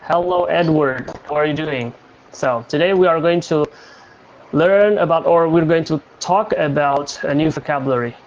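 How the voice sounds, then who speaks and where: A young man from Indonesia hosting a casual livestream chat, talking in a halting way with many fillers and restarts. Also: fairly high pitch, dull audio. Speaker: livestream host.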